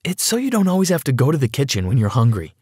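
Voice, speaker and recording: A man voicing an excitable, delusional adoptive mother in a story, dramatic and swooping between gushing and panic. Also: medium pitch, fast, slightly rough, no echo, studio quality, pitched above the performer's own voice.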